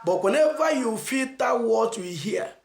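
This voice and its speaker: A man's voice: very high voice, animated, vocal fry, a little hiss or hum. Man, Nigerian accent, motivational preaching, emphatic, roomy echo. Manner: emphatic, rhetorical questions, slow stressed phrases. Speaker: channel host.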